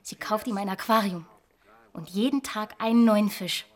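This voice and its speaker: A woman's voice: mid-range voice, moderate pace, natural voice. Radio drama: restless young dreamer, played by a woman, conversational and musing, mid-range voice. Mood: dreamy, chatty. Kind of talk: radio drama monologue and dialogue.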